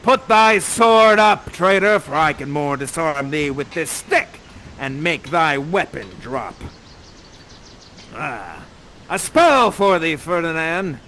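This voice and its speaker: A man's voice: on the high side, lively intonation, slow, sharp tone, a little hiss or hum, full character voice. A man voicing a stern older sorcerer-duke, speaking verse in a commanding, theatrical way. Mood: menacing.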